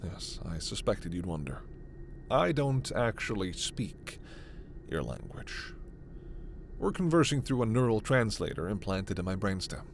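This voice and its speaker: Man acting a slow, low-voiced gentle alien doctor. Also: sing-song, a little hiss or hum. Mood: calm, informative. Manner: measured, formal, precise diction. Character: gentle, scholarly male alien doctor with scales, protective. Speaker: ASMR roleplay performer. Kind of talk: ASMR sci-fi roleplay acting.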